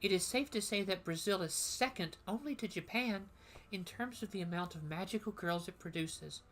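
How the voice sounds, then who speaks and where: A woman voicing a formal woman teacher of magical history in a game, lecturing in a measured way. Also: mid-range voice, slight background noise, lightly acted.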